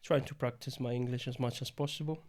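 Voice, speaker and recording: A young man from Italy with an Italian accent, chatting casually as a podcast guest, often pausing to search for words. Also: medium pitch, fairly even intonation, a little mellow. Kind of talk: casual conversation.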